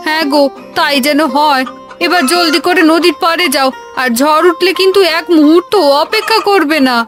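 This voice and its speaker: A woman's voice: extremely high pitch, moderate pace, a bit bright, faint music behind. A woman voicing an earnest, worried village woman in a cartoon story, with a homely, pleading manner.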